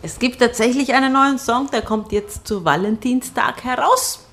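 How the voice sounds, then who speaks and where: A woman's voice: fairly high pitch, normal speed, fairly bright tone. A woman from Argentina, a native Spanish speaker, chats candidly and with a giggly manner as a guest telling personal stories on the radio. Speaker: singer and actress, radio guest. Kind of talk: casual personal storytelling in a radio interview. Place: radio broadcast. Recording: music behind the voice. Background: music.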